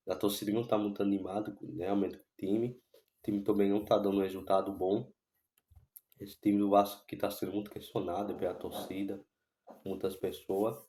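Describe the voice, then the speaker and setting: Guy, hoarse with a cold, low flat dark voice, rambling fan talk in an echoey room. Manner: rambling, repeats himself with many restarts and fillers. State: hoarse from a sore throat and cold. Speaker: football fan channel host. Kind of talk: casual vlog commentary on football.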